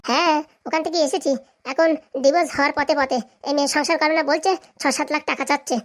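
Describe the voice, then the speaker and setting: A woman's voice: sits high, fairly even intonation, quick pace, close to an everyday voice. A woman voicing an earnest, eager visitor out for revenge in a comedy cartoon, speaking colloquially and deferentially. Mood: earnest, confiding. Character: earnest, vengeful visitor seeking evidence.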